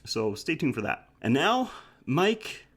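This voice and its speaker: A man's voice: on the high side, sing-song, takes it slowly, background noise. A man hosting a podcast in a casual, chatty style, joking and self-deprecating, speaking through a head cold. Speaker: podcast host.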